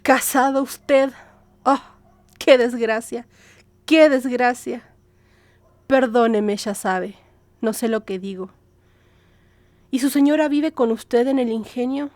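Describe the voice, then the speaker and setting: A woman voicing an aging, sickly mother who flatters and pleads in an audiobook drama, with ingratiating, dramatic exclamations. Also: on the high side, slow pace, long pauses, no echo. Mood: dramatic dismay. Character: aging, ailing, scheming mother, fawning and wheedling.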